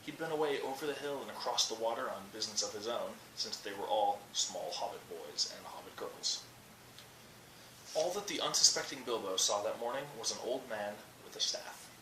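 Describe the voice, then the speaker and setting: A young man narrates a bedtime story from a book. His voice is bright and mid-pitched. The mic sits a little away, so the room echoes around him. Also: expressive, moderate pace. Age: young adult. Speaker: storyteller reading aloud. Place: small indoor room by a curtain. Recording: roomy, distant webcam mic, faint noise.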